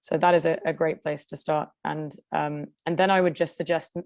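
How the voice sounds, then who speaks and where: A woman with an English accent, speaking quickly with many fillers and restarts as she presents and answers questions on a video call. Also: low voice, little pitch movement, a little mellow, dry recording, studio quality. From Denmark. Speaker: conference presenter. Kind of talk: webinar presentation and Q&A.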